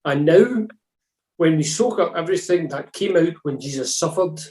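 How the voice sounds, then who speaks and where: Man, church reader, earnest, calm, mellow tone, echoey room. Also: a little high, normal speed. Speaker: devotional reader. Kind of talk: scripted church reflection reading. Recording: roomy echo.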